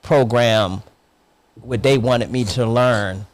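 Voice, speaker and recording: A man's voice: mid-range voice, slow, a little hiss or hum. A man, hosting a loose, laughing chat with old friends. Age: 50s. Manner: laughs often, loose streetwise banter.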